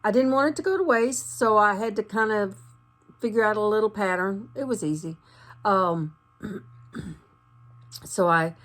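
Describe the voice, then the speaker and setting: A woman, a little croaky from allergies, chatting her way through a casual yarn craft tutorial with lots of ums and asides. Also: mid-range voice. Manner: chatty, meandering, lots of fillers. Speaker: crafting channel host.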